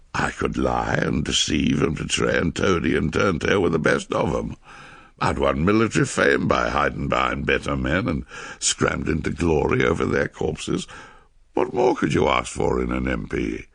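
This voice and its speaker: A man's voice: very deep voice, wildly swooping pitch, normal speed, gravelly, fairly bright tone, breathy edge, dry recording, studio quality, full character voice. An actor playing a shameless old Victorian soldier with an English accent, recounting his memoirs in a roguish, boastful, cynical way in a radio drama. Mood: wry, boastful. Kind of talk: dramatised first-person memoir narration.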